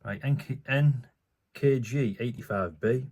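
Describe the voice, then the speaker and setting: A man's voice: mid-range voice, a little slow, a little mellow, breathy edge. A man hosting his own channel, talking casually and offhand while he works, with lots of fillers, recorded on a phone at an indoor workbench. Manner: rambling, offhand, many fillers. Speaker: channel host. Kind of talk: casual hands-on commentary.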